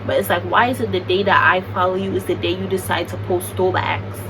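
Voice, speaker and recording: A woman's voice: on the low side, quick pace. Chatty young woman, distant noisy mic. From United States. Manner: chatty, animated, slangy. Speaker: vlogger. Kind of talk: casual storytime. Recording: distant mic with background noise.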